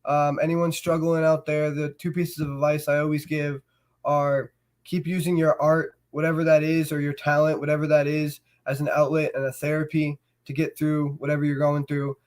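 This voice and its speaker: A man with a cold and a stuffy voice, hosting a casual show and talking in a relaxed way with many fillers. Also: on the high side, normal speed. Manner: relaxed, filler-heavy, warm encouragement. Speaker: host.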